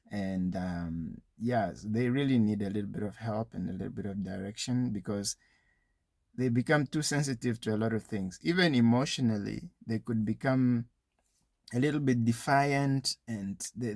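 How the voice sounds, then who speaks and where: A man speaks in a conversational, explanatory way, as if advising young viewers directly. His mid-pitched voice has a noticeable creak to it. The sound is dry and close, with very little room in it, and he drops in small asides as he goes. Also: no room sound. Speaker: speaker giving educational advice to young people. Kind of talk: informal explanatory talk addressed to viewers.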